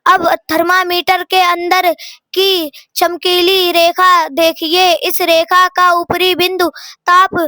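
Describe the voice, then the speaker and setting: Very high, bright young schoolgirl reading aloud. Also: completely flat delivery, no echo, clean studio sound. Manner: halting, word-by-word reading with stumbles. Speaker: student reading a lesson aloud.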